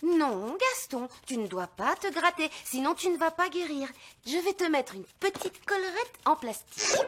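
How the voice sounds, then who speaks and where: A woman playing a kindly, reassuring veterinarian in a cartoon fairy world, soothing, sing-song and expressive. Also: high-pitched, moderate pace. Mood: caring. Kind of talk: animated cartoon dub.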